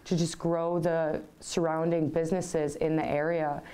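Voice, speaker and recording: A woman's voice: low-pitched, level tone, a little slow, dark tone, clear, resonant tone. A young woman speaking as an interviewee in a news soundbite. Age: young adult. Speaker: business program co-founder interviewed.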